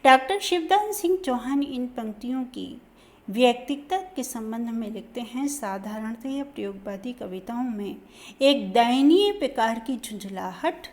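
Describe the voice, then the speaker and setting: A woman lecturer reading a literature lesson aloud in a slow, deliberate formal manner, her voice dropping softly at the end of each sentence. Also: on the high side, animated. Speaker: college Hindi lecturer.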